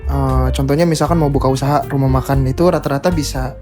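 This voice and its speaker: A man in his twenties, a podcast guest, explaining his views in a measured, casual way with examples. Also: mid-range voice, fairly even intonation. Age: 20s. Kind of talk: casual chat. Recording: music playing behind.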